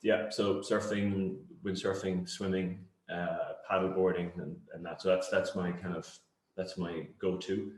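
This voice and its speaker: Middle-aged Cork Irish man as interview guest, lowish voice, reflective and halting delivery, roomy echoing sound. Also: little pitch movement. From Cork, Ireland. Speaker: interview guest, company founder. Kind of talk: conversational interview answers.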